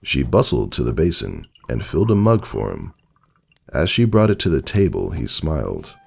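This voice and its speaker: A man narrating a story as a dramatic scripted reading, with a gravelly, husky voice and expressive literary delivery. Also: extremely low pitch, normal speed.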